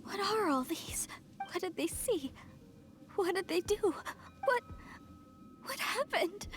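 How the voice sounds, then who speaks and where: A distraught young believer in a video game, extremely high in pitch. Her delivery is slow, halting and dramatic, with faint music behind her. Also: big pitch swings, full character voice. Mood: shocked, bewildered. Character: devout, distraught young woman clinging to her faith. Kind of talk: scripted video game dialogue.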